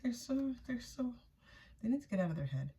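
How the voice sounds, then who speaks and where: A woman's voice: on the high side, sing-song, a little fast, warm tone. A woman giving a casual, intuitive tarot reading for viewers, often repeating phrases for emphasis. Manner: voices another person's thoughts in first person. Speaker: tarot reader, channel host.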